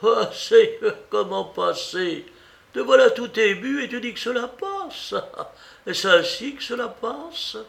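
An agitated old woman, acted out by a male reader, pitched well up with a gravelly edge. She is a blunt, loving storybook aunt who scolds and fusses, repeating her words with emphasis. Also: expressive, slow pace, fairly bright tone, heavily acted. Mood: alarmed, fussing. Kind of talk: dramatised audiobook reading.

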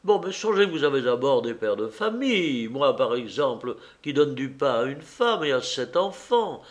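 A man's voice: mid-range voice, big pitch swings, moderate pace, close to an everyday voice. A man voicing a cowardly artist passenger in an audiobook, anxious and pleading with a theatrical false assurance. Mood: pleading, placating. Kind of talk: audiobook character voice.

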